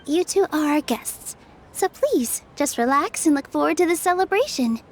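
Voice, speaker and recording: A woman's voice: sits high, lively intonation, normal speed, slight background noise. A woman voicing a kind, reassuring young theater dancer in a video game, friendly and polite. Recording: faint music behind the voice.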